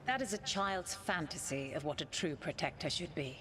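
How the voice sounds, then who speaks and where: Low-voiced imperious ancient elven goddess, played by a woman. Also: background noise, natural voice. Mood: imperious, challenging. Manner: formal, haughty, deliberate, commanding. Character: ancient imperious elven goddess spirit, proud, stern, testing petitioners. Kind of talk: dramatic video game acting.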